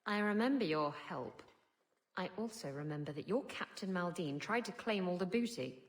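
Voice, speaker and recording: A woman's voice: fairly low pitch, expressive, normal speed, close to an everyday voice. A woman voicing a brash, saucy and suspicious female pirate captain in a video game, salty and sarcastic.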